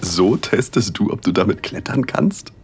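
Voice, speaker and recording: A man narrating a first-person erotic audio drama in a hushed, intimate, unhurried way, with a husky voice. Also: fairly low pitch, expressive, slight background noise. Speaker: narrator and male lead.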